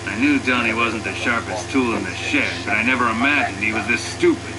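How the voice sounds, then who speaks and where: A man's voice: mid-range voice, brisk, lightly acted. Man acting a sardonic soldier over heavy noise. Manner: sardonic, grumbling. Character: cynical wisecracking male soldier turned treasure hunter. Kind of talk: video game cutscene voice acting. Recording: loud engine and ambient noise.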